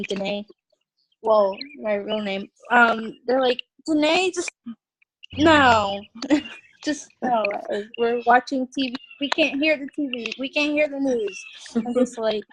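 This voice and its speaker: A young woman in her early twenties with a high voice chats casually and self-deprecatingly. She is on an online group call, with clear noise and clicks in the recording. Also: slow pace. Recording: noisy video call, clicks.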